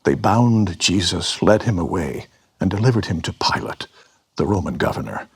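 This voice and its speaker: A man narrating scripture dramatically, deliberate and grave in his delivery. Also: low-pitched, animated, creaky, husky.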